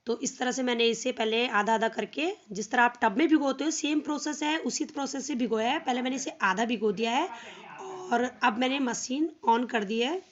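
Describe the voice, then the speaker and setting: Hoarse woman chatting through a cold. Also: fairly high pitch, normal speed, dull audio. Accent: Hindi-speaking North Indian. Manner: chatty step-by-step explaining. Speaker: home vlogger. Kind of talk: casual how-to vlog. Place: home, by a washing machine outdoors.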